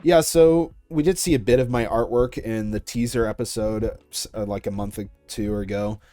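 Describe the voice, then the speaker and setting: Young man, slightly low, dry sound. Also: fairly even intonation, moderate pace, warm tone, no room sound, faint music behind. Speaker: creator being interviewed. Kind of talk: casual interview chat with YouTube-style sign-off.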